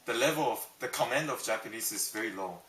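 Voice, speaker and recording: A man's voice: mid-range voice, expressive, quick pace, a bit bright, a little hiss or hum. A young man from Taiwan answering interview questions, hesitant, with many ums and restarts. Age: young adult. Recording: roomy, distant mic.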